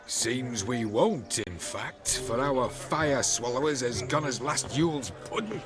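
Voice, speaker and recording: A man's voice: mid-range voice, lively intonation, slow pace. An actor playing a placid middle-aged village father in a video game, wry and soothing. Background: loud background music.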